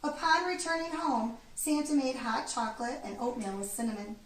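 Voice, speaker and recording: Woman doing a kids read aloud, high voice, expressive, echoey room w a bit of noise. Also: normal speed. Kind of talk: children's storybook reading. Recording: echoey room, distant mic, background noise.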